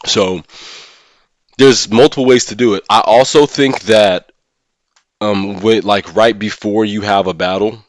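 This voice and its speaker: Low-voiced man chatting on a livestream. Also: a little slow, no room sound. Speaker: live streamer and gaming content creator. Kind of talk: casual livestream discussion of a mobile game.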